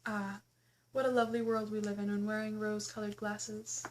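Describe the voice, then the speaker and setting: A woman reading her own memoir aloud as its author, in expressive first-person narration with a playful, theatrical, exclamatory storytelling manner. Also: medium pitch, moderate pace, slight background noise.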